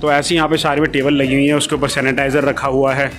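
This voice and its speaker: A man's voice: mid-range voice, level tone, fast, a bit bright, soft background music. A man vlogging a walking tour of a dog-friendly cafe, explaining everything in a chatty way while filming on his phone. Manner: chatty, explaining as he walks, filler-heavy. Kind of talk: casual walkthrough vlog. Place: dog cafe, indoor-outdoor seating.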